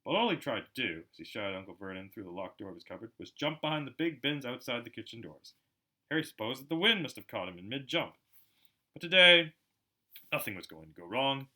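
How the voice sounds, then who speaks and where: A man narrating a storybook aloud in an expressive, dramatic way, as an amateur audiobook reader. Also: mid-range voice, fairly quick. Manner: animated, dramatic.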